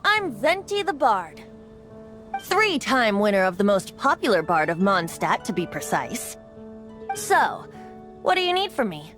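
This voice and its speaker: Youthful boy bard, lilting, theatrical, playful, wistful, very animated pitch, anime game, music behind. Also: a little slow. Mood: playful, surprised.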